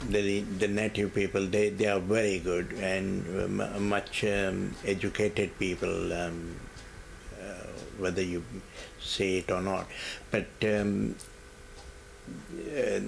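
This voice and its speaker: An older retired man, born in India, answering oral history questions in a halting way with many pauses and fillers. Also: deep voice, steady tone, gravelly, slight background noise, rough recording. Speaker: interviewee.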